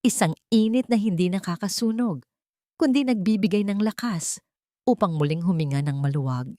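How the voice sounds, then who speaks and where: A woman's voice: mid-range voice, moderate pace, warm tone, no room sound, studio quality. A woman reading a scripted dramatic story in an earnest, sentimental way. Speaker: audio drama narrator.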